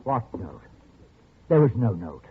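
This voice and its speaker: A man's voice: fairly low pitch, sing-song, measured pace, husky, exaggerated voice. An actor playing an obsessive, sly old man who keeps a captive and adores his books, in a 1940s radio drama style. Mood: probing, insistent. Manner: softly condescending, turning to frantic pleading. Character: obsessive old male Dickens lover keeping a captive, sly then desperate. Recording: muffled, noisy old radio broadcast.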